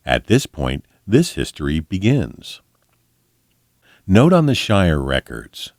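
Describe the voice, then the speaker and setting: A man reading a fantasy book aloud in an expressive audiobook style, with measured, dramatic storyteller phrasing. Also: low voice, gravelly, no echo, clean studio sound. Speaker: volunteer storybook reader.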